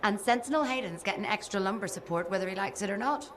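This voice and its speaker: An actress playing a caring, practical mechanic and mother, conversational and gently wry, in video game dialogue. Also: mid-range voice, quick pace, close to an everyday voice. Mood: fond, wry.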